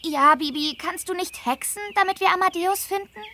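A woman voicing a friendly, eager teenage girl on holiday in a children's game, earnest and clearly spoken. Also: extremely high pitch, normal speed, background noise. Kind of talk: scripted children's game dialogue.

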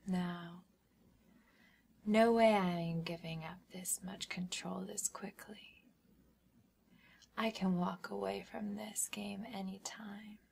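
A woman performing a whispered ASMR hypnosis role-play as a smug, controlling schoolgirl, with deliberate, commanding phrasing. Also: medium pitch, fairly even intonation, gravelly, darkish tone, a little hiss or hum.

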